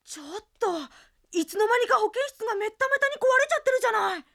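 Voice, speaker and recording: Shrill, very high, fretful schoolhouse lady. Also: animated, quick pace, gravelly, bright, forceful tone, heavily acted. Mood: alarmed. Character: shrill, dismayed, fussy female at a school. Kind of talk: Japanese anime audio drama acting.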